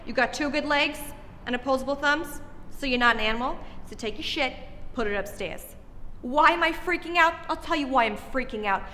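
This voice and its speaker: Exasperated nagging mom, shrill sarcastic scolding, bright edge, played by a woman. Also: fairly quick, big-room sound. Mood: yelling. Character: exasperated nagging middle-aged mother.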